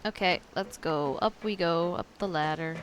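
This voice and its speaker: A woman's voice: fairly low pitch, normal speed, slight background noise. A woman streamer giving casual, slangy game commentary, often talking to herself as she plays. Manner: informal, slangy, self-talking. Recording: faint game music behind.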